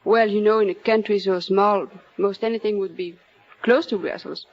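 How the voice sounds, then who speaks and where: A woman's voice: medium pitch, steady tone, moderate pace. A young woman from Belgium, a native French speaker with a Belgian French accent, chatting as a radio quiz contestant. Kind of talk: casual interview chat. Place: radio studio. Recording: dull vintage radio recording.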